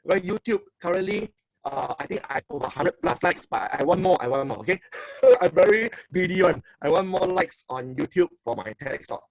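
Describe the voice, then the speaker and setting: A middle-aged man with a Singaporean English accent gives an emphatic, fairly high-pitched seminar talk, recorded close and dry. He keeps repeating phrases and tagging lines with "okay?" as he coaches his listeners. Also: lively intonation, a little fast, creaky, dry recording, rough recording. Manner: emphatic, repeats phrases, asks for likes and applause; tags sentences with "okay". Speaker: motivational speaker and coach.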